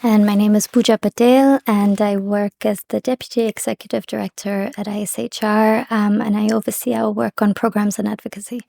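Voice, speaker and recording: A woman's voice: mid-range voice, flat delivery, brisk, vocal fry, hardly any pauses, mellow. A woman from Thailand, deputy director of a human rights group, answering candidly and thoughtfully. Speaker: deputy executive director of an NGO. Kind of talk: interview answers.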